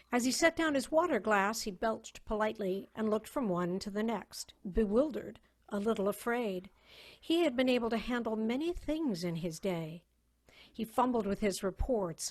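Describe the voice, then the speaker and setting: Measured woman narrator with mid-range voice. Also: mid-range voice. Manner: measured, storytelling. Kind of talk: scripted narration of a dramatic story reading. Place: online video call reading.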